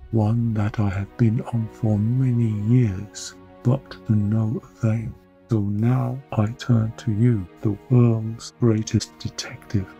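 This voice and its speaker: A man's voice: fairly low pitch, mellow, muffled recording. A man playing a frail, dying duke in an audio drama, courteous, grave and intent on his quest.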